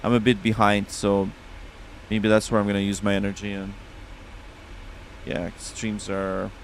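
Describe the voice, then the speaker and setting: A man's voice: on the low side, completely flat delivery, normal speed, a little hiss or hum, low-quality recording. A man with a Russian accent streaming a flight sim, chatting casually with viewers and reading back radio calls on a headset.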